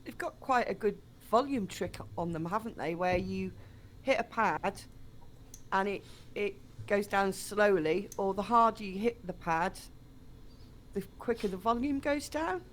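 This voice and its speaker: A woman's voice: mid-range voice, unhurried. A woman speaking as a guest on a live stream in casual, conversational chat. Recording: clear background noise.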